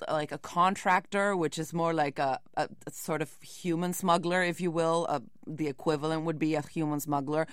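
Low-voiced woman explaining in an interview. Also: a bit bright, clean tone, no echo, clean studio sound. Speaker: investigative reporter being interviewed.

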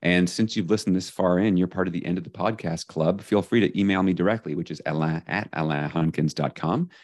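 A man being interviewed as an author on leadership, talking in an energetic, emphatic way. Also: deep voice, a little fast, dry recording, clean studio sound. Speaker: podcast guest, leadership author. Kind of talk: casual interview talk.

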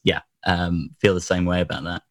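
A man with a very low, slightly hoarse voice chats as a guest, circling his points with fillers and restarts. The sound is dry and close, with no room around it. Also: little pitch movement, moderate pace, dry recording. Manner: rambling, lots of fillers and restarts. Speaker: podcast guest. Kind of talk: casual chat.

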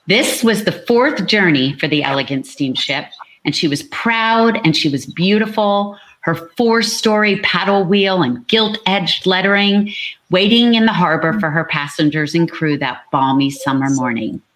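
A woman's voice: lowish voice, normal speed, a bit bright, rough recording. A woman narrating a dramatic historical story as an amateur scripted reading.

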